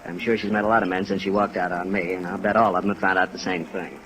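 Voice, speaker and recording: An actor playing a bitter, wounded American doctor in a 1950s radio drama, brooding and emphatic. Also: male voice, deep voice, little pitch movement, fast talker, music in the background, natural voice, low-quality recording. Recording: old radio recording with rain noise.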